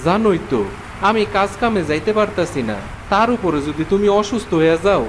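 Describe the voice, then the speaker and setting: A man's voice: sits high, fairly quick, a little hiss or hum, natural voice. A man with a Bangladeshi accent voicing an ailing, downhearted but loving village father in a cartoon. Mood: worried. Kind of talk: Bengali fairy tale cartoon dubbing.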